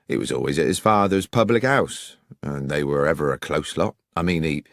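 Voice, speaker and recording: A man's voice: extremely low pitch, moderate pace, creaky, dry recording, clean studio sound, voice pushed down. Man doing a deferential village police sergeant. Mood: guarded. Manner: obliging, says sir often. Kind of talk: audiobook character reading.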